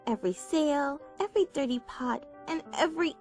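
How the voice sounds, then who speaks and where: A woman voicing an unhinged, sweetly obsessive young filly pony in halting phrases for a dramatised fan fiction reading. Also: high-pitched, a little mellow, faint music behind. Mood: unhinged, insistent.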